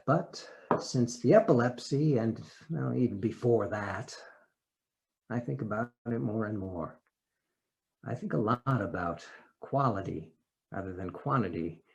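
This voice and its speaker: Man giving an expressive dramatic essay reading. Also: medium pitch, creaky. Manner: expressive, theatrical, with deliberate pauses and emotional swells. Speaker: actor reading an essay aloud. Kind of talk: dramatic reading of a first-person personal essay.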